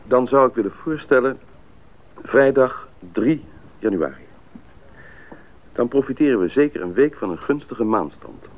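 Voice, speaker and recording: An actor playing a decisive expedition pilot in a Dutch radio drama, speaking in a deliberate, businesslike way. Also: male voice, mid-range voice, lively intonation. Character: decisive male expedition pilot leading the planning. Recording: muffled narrowband radio play recording.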